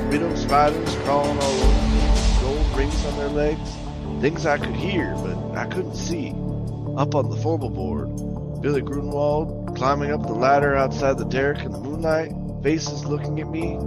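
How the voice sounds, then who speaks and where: A man's voice: medium pitch, natural voice. A man playing a jittery, haunted oil rig worker telling his suspense story in colloquial, stammering old-time radio style. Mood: haunted recounting. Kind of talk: old-time radio drama narration, first-person suspense.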